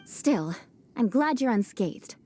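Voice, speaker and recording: A woman's voice: a little high, lively intonation, takes it slowly, dark tone, soft background music. A woman playing a proud, vengeful young noblewoman swordfighter in a video game, speaking in a haughty, formal and theatrical manner. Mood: cool, composed.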